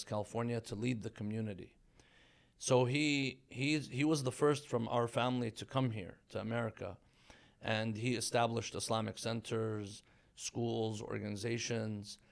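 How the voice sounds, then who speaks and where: Man in his thirties, low gravelly voice, measured storytelling, interview guest. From Iran. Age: 30s. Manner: measured, thoughtful storytelling with fillers. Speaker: religious scholar guest. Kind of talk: conversational interview.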